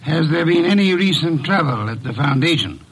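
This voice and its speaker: Pompous curt detective boss from an old radio play, highish husky voice, very formal. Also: male voice, lively intonation, normal speed, full character voice, poor audio. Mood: curt, dismissive. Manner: pompous, formal, precise diction. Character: imperious, curt, eccentric gourmet detective boss. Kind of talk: classic radio drama acting.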